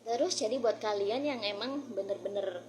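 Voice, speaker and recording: A woman's voice: medium pitch, normal speed, darkish tone. A woman with an Indonesian accent talking casually as a vlogger, informally mixing Indonesian with English phrases. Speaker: vlogger sharing English-learning tips. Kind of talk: casual vlog chat.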